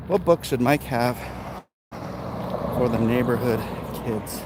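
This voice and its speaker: An American man with a mid-pitched voice chats casually while walking outside. Clear traffic and street noise sits behind him. His talk rambles loosely, as if answering viewers one comment after another. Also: slow pace. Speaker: livestream host. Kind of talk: casual walking livestream chat. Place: outdoors, walking along neighborhood streets. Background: urban outdoor ambience, vehicles.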